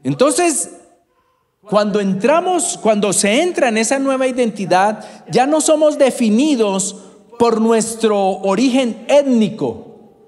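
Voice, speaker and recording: Slow, lively Colombian preacher in a hall. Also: male voice, extremely high pitch. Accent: Bogotá Colombian Spanish. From Bogotá, Colombia. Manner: addresses congregation members by name. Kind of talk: sermon. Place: church hall. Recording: reverberant church hall.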